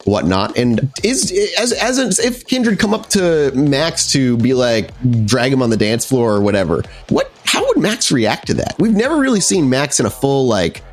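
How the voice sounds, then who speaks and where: A man's voice: medium pitch, sing-song, moderate pace, few pauses. A man narrating a tabletop horror game as storyteller, improvising descriptions in a conversational way with some casual swearing.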